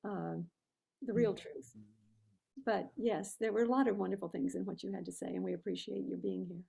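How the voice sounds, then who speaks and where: A woman's voice: medium pitch, vocal fry, mellow. A woman taking part in a workshop, casually sharing her reflections with the group. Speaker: workshop participant sharing feedback.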